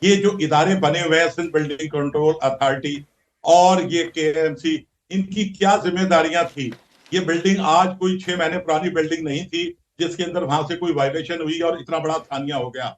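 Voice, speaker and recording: Man defending traders on a news show, aggrieved n accusing, kinda high voice. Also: fairly even intonation, fairly quick, rough recording. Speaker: traders' association leader, guest. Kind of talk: guest on a news talk show.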